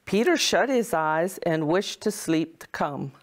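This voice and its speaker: A woman reading a children's storybook as a kindly Mrs. Claus, in a deliberate, expressive way. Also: fairly low pitch. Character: Mrs. Claus, kindly motherly wife of Santa.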